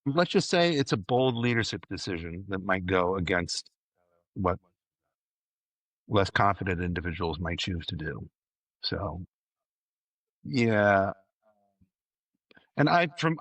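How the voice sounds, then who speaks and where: A man's voice: low-pitched, a bit bright, no room sound. A man talking casually as a podcast panelist, explaining things and often correcting himself as he goes.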